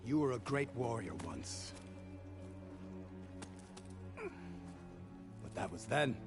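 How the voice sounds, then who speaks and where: A man's voice: medium pitch, big pitch swings, extremely slow. A man acting a proud, defiant leader of a mountain warrior clan in a video game, speaking in a haughty, declamatory way. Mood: scornful. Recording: loud music behind the voice.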